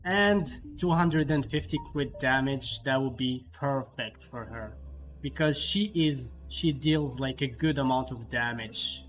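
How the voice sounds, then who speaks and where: A man hosting a game livestream with casual, halting commentary, often repeating himself. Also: mid-range voice, a bit bright, faint music behind. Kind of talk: casual live gameplay commentary.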